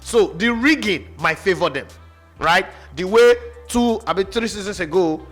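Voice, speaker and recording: A man's voice: sits high, animated, moderate pace, a bit bright. A man arguing football on a sports radio show, boastful and mocking, with faint music behind. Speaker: football pundit. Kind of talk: heated sports radio debate.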